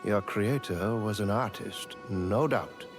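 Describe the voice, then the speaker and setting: An actor playing a genteel, devoted manservant in a fantasy game, with formal, courtly, theatrical phrasing. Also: male voice, fairly low pitch, takes it slowly, music in the background. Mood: courteous, welcoming. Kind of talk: video game character dialogue.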